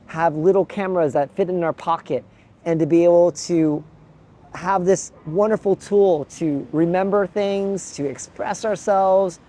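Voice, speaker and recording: A man from the Midwest vlogs outdoors into a small handheld camera, his voice a bit high and mellow. He muses aloud, pausing and restarting his sentences as he thinks things through. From Midwest United States. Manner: thoughtful and musing, with frequent pauses and restarts. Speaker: travel vlogger. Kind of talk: reflective vlog monologue to camera. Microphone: handheld pocket gimbal camera.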